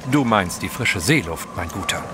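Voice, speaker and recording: Lively gentleman adventurer. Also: low-pitched, moderate pace, background noise. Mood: jovial. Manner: polished, jovial, upbeat. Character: cheerful gentleman adventurer, genteel and enthusiastic. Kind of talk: audio drama acting. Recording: clear outdoor ship ambience behind.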